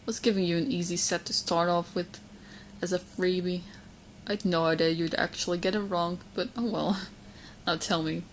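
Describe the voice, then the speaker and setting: A woman's voice: fairly low pitch, a little hiss or hum, rough recording. A young woman voicing a sweet, romantically obsessed witch girlfriend in an ASMR roleplay, playful and possessive as she speaks straight to the listener. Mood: teasing, smug, then playful, coaxing. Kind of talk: ASMR roleplay audio drama, spoken to the listener.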